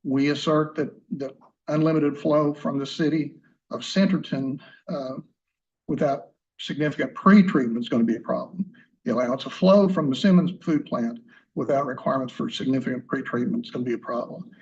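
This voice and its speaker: A soft-spoken man presents a formal legal argument to a commission, often stopping to restart a phrase. His voice sits in an ordinary middle pitch. The hearing room audio is dull and lo-fi. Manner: soft-spoken, halting with many fillers and restarts. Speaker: attorney.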